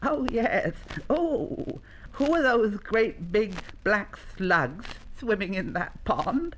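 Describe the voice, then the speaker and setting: A storyteller voicing an excitable, naive young male llama in a children's story, whiny and exclaiming. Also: mid-range voice, slow, slight background noise, voice pushed up. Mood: curious. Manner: whiny, exclaiming, repeats himself.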